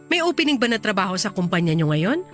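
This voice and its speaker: An actress playing a sharp-tongued young Filipino wife and mother in a radio drama, expressive and emotional. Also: medium pitch, fast talker, faint music behind, close to an everyday voice. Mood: casual, curious.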